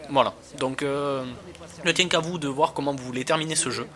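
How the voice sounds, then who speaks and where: A man's voice: medium pitch, brisk, a bit bright. A man giving casual gameplay commentary as a reviewer, talking in a slangy, hesitant, rambling way. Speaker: video game reviewer.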